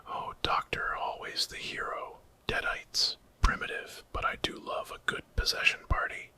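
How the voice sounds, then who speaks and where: A man voicing a wicked, mocking time-traveling villain in a breathy whispered ASMR story. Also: measured pace, bright, forceful tone. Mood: gleefully sinister.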